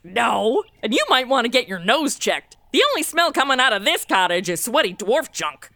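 A woman plays a brash young princess in a comic radio play. Her voice is high and extremely animated, with clear noise behind it. She is blunt and sarcastic. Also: normal speed, full character voice. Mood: dismissive. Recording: noisy background.

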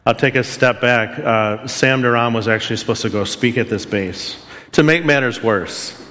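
A man's voice: medium pitch, moderate pace, bright, forceful tone. A man giving a sermon, telling a personal story in an earnest, self-deprecating way. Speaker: preacher. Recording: long hall reverb.

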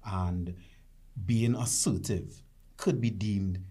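A man in casual discussion, laying out his argument in a measured way. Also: lowish voice, steady tone, dark tone, husky. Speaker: discussion panelist.

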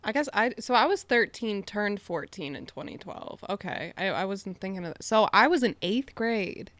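A woman's voice: mid-range voice, expressive, normal speed, fairly bright tone, no echo. A woman in her twenties co-hosting a podcast, telling stories in a casual chat full of "like". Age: 20s. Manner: chatty, storytelling with lots of "like". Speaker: podcast co-host.

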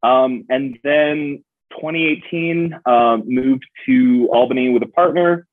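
A man's voice: mid-range voice, slow pace, no room sound. A young man from New Hampshire, a guest on a podcast, chatting casually and playfully, acting out little voices in his stories. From New Hampshire, USA. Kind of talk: casual chat. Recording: dry tinny phone-like sound.

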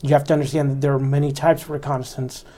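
Steady, even-toned army officer. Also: male voice, mid-range voice, very fast. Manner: earnest, instructive, stresses fundamentals. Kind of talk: reflective interview talk.

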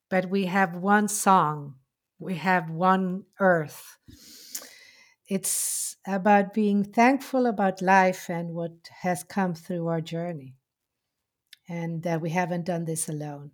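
Slow-speaking Argentine woman. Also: mid-range voice, steady tone. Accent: Argentine Spanish. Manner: slow, heartfelt, with frequent pauses and restarts. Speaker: interviewee. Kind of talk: reflective personal storytelling.

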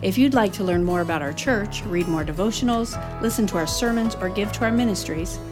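A woman's voice: medium pitch, fairly quick. A woman reading a scripted announcement for a podcast. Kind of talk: scripted podcast intro and outro. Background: guitar music.

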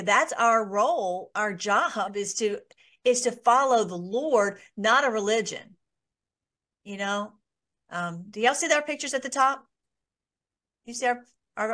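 A woman's voice: on the high side, fairly bright tone. A woman hosting an informal online Bible study, talking in a rambling way with many restarts and fillers.

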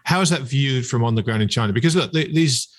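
A man's voice: medium pitch, quick pace. A man hosting a conversational podcast interview, reflectively building up long questions.

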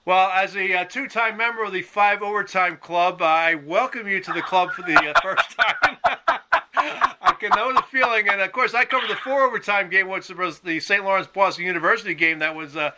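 High-voiced man hosting a sports podcast. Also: normal speed, pushed and bright, slight background noise, poor audio. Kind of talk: podcast interview.

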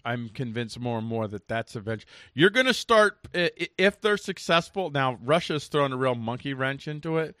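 Bright-voiced American man hosting casual talk. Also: mid-range voice, lively intonation, fairly quick, hardly any pauses, no echo, clean studio sound. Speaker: talk show host.

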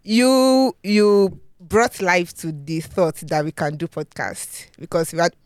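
A podcast host with a Yoruba-accented Nigerian English accent, chatting casually in a studio and going over news with many ums and pauses. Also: mid-range voice, fairly even intonation. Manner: hesitant, many ums, laughs often.

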